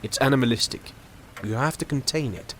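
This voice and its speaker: An actor playing a worldly, unsentimental older doctor in an audio drama, measured and dryly sardonic. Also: male voice, mid-range voice, a bit bright, slight background noise, natural voice. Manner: measured, dry, reasoning.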